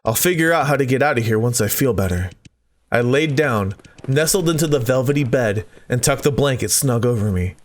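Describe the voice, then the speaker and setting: A man's voice: mid-range voice, lively intonation, brisk. A man doing a let's play, dramatically reading visual novel text aloud and breaking off for joking asides. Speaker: gaming video host.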